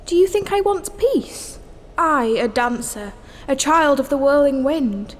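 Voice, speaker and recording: An actress playing a passionate, dreamy young dancer with lyrical, impassioned delivery in a stage-like audio drama. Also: female voice, high-pitched, lively intonation, measured pace, slight background noise. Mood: anguished, impassioned.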